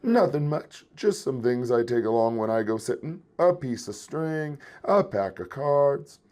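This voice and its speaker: A man voicing a large, jolly older female bear babysitter in a loud, folksy, bossy way for a storybook. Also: medium pitch, animated, a little slow, pitched above the performer's own voice, natural voice. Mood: casual. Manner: folksy, forceful, drops final g's.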